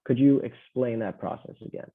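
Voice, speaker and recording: A man's voice: medium pitch, moderate pace. A man casually hosting a Q&A over a remote call, introducing and reading out questions. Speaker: co-host reading out viewer questions. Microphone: remote call audio. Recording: tinny, phone-like call audio.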